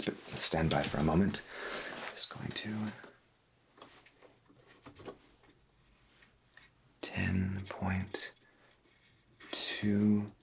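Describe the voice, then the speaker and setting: A man playing a gentle, wondering veteran 1990s AM radio host in a soft-spoken ASMR roleplay, husky and folksy, musing in a hesitant murmur. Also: low voice, background noise, full character voice. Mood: quiet disbelief. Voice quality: husky, gravelly.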